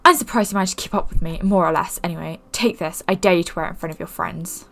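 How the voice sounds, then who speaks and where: A woman's voice: lowish voice, fast talker, vocal fry, a bit bright. A woman reading as a haughty villain rider girl. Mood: taunting. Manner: reading game dialogue aloud.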